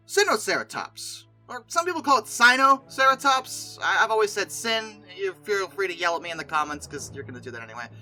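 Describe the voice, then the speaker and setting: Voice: mid-range voice, bright, forceful tone. A video host giving casual, opinionated commentary in a conversational, emphatic way with exasperated asides.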